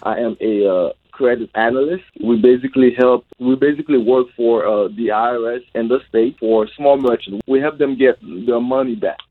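A man's voice: medium pitch, fairly even intonation, moderate pace, few pauses. A man from Haiti answering questions as a guest in a radio interview. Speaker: interview guest, military veteran. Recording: tinny narrow phone line.

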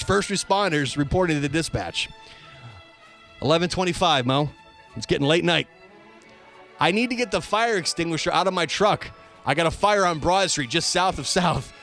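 A man with a notably high voice hosts a talk show. He reads quoted reports and times aloud with emphatic, announcer-style delivery. Faint music plays behind him. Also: fairly quick, a bit bright. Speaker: radio show host. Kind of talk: reading police dispatch quotes aloud on a comedy-tinged talk show.

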